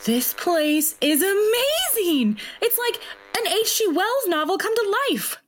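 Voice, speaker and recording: An actress playing a stubborn, quippy swashbuckling heroine in an audio drama, with a theatrical manner. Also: female voice, extremely high pitch, very animated, takes it slowly, slight background noise, full character voice. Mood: amazed.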